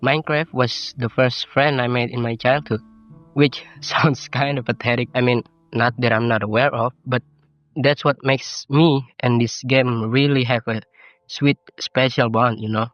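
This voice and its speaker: Teenage male vlogger, Indonesian accent, non-native English, reflective and self-deprecating, slightly lo-fi voice-over with clear music underneath. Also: medium pitch, fairly even intonation, measured pace, dry recording. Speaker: YouTuber. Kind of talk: personal vlog narration. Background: game soundtrack music.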